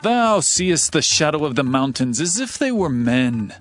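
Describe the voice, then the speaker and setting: An actor playing a sly, scornful city ruler with a drawn-out, mocking delivery in a dramatized audio Bible. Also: male voice, a little high, very animated, faint music behind. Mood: dismissive.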